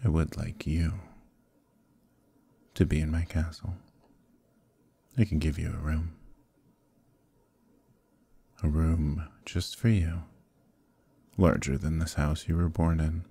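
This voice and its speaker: A man playing an evil conquering knight in an ASMR roleplay, speaking in a gravelly, husky, measured way, calm and menacing yet quietly coaxing. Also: a bass voice, full character voice. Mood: soft coaxing. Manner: measured, deliberate, quietly persuasive, pausing often. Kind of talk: ASMR roleplay, slow intimate dramatic monologue.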